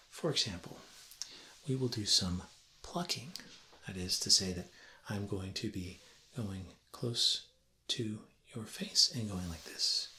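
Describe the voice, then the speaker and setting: A man, perhaps in his thirties, gently and deliberately explaining things as a teacher in a soft-spoken ASMR roleplay lesson. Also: fairly low pitch. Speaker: ASMR creator playing a teacher. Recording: roomy echo with faint ambient noise.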